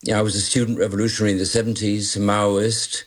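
Man, 60s, low and husky; rambling guest with restarts, wry. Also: flat delivery, fast talker. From born in London, raised in Malaysia. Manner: rambling, self-deprecating, many restarts. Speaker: journalist guest. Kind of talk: radio interview guest. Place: remote, joining from afar.

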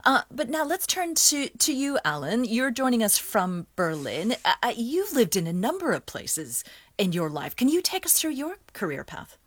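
Woman, radio presenter; friendly, halting, close dry studio mic. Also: a little high, big pitch swings, no echo. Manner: friendly, hesitant restarts. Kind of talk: radio discussion hosting.

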